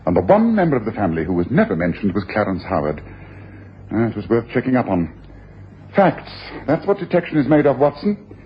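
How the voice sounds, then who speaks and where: A man's voice: fairly low pitch, wildly swooping pitch, a little fast. A self-assured detective with a theatrical, domineering delivery. His voice swings widely as he makes his points, in a dull, noisy old radio broadcast. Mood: reflective, satisfied. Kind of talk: 1980s radio drama acting.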